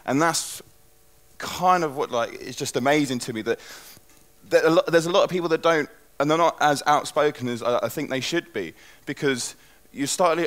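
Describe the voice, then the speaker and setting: A man's voice: mid-range voice, fairly bright tone. A young man gives a loose, chatty talk on a conference stage through a headset mic, full of restarts. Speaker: conference speaker.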